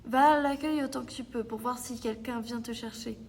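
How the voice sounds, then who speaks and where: A female performer playing a gentle, reassuring sixteen-year-old survivor in a French audio drama. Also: on the high side, fairly even intonation, moderate pace, creaky, a little hiss or hum.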